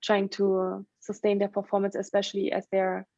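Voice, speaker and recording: A woman's voice: mid-range voice, monotone, normal speed, mellow. A woman from Germany in her thirties with a German accent, talking conversationally about herself as a podcast guest. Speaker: podcast guest, burnout coach. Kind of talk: conversational interview answers, telling own story. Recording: roomy, slightly dull lo-fi sound.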